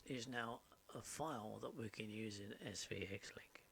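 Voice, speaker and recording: A man narrating an informal software tutorial, explaining step by step in short, halting phrases. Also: fairly low pitch, creaky. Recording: steady background noise, mouse clicks. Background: faint noise and clicking.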